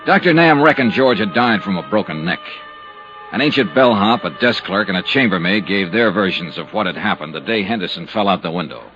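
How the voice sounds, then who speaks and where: Wry radio private eye. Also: male voice, sits low, animated, fairly quick, fairly bright tone. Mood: dry. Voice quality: dry, wry narration. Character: wry male insurance investigator narrating. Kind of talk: 1950s radio drama acting. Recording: dull old radio recording with music.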